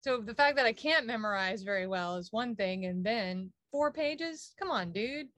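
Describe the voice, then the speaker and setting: A woman co-hosting a podcast, chatting casually and telling an animated anecdote with exasperated asides. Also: medium pitch, moderate pace, no room sound. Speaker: podcast co-host. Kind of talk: casual chat.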